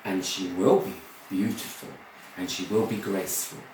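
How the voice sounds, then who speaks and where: A man voicing a haughty, menacing evil fairy who speaks in slow, imperious pronouncements. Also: sits low, steady tone, darkish tone, a little hiss or hum, close to an everyday voice. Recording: very echoey room, far from mic.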